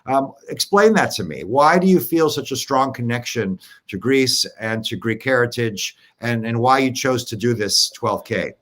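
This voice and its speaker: A man's voice: mid-range voice, expressive, normal speed, hardly any pauses, fairly bright tone. Encouraging male interview host. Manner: encouraging, upbeat questioning. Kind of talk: live show interview.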